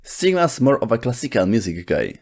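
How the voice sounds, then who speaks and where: A man's voice: medium pitch, sing-song, a little fast, a little mellow, husky. A man reading scripted explainer narration as the narrator of a video.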